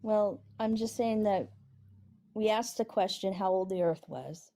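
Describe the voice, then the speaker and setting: A woman in her forties whose voice is high-pitched but mellow and warm. She takes part in a casual debate as a caller, answering hesitantly and often doubting herself. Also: unhurried. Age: 40s.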